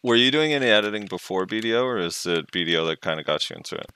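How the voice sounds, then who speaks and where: Deep, bright-toned man asking questions. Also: animated, very fast, clear, resonant tone. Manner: teasing, curious, asks many questions. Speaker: podcast co-host. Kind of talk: casual podcast interview.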